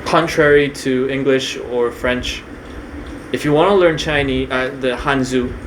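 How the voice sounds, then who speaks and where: Young man from Korea, casual unscripted talk, lots of ums and restarts, even mid pitch, steady hum behind. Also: unhurried, rough recording. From Korea, raised partly in New Zealand. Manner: hesitant, many fillers and restarts. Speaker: video creator.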